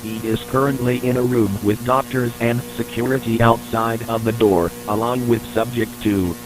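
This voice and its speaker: A man narrates a scripted report for an analog horror tape, keeping a formal and matter-of-fact manner. His pitch is average for a man, and a clear electrical hum and noise runs through the recording. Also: fairly even intonation, normal speed.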